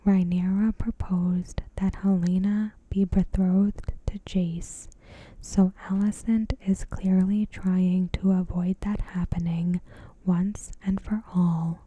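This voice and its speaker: A woman giving ASMR commentary as a host, in a soft, breathy whisper with a gentle, measured, deliberate delivery. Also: fairly low pitch, no echo. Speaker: video host.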